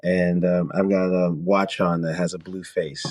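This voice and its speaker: Laid back guy from canada, real low voice, easygoing chat. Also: measured pace. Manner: relaxed, laid-back, many fillers and little laughs. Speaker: guest musician. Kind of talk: casual interview talk.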